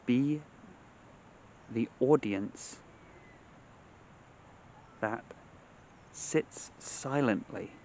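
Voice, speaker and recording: A man leading a guided meditation in measured, short phrases separated by long pauses, speaking outdoors. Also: medium pitch, a little mellow, slight background noise. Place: outdoors among trees.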